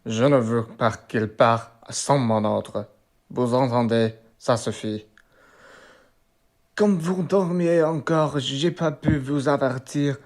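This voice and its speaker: A deferential country innkeeper acted by a man, unhurried and slow of speech. He is deliberate and respectful, in amateur radio drama style. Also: mid-range voice, steady tone, natural voice. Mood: apologetic, obedient. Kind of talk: amateur radio play acting.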